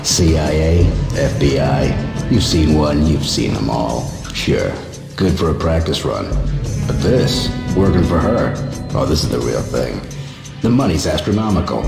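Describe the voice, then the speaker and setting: An actor playing a boastful, menacing male mercenary boss in a video game, with a gravelly low voice. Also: big pitch swings, measured pace, exaggerated voice. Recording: echoey hall, game music.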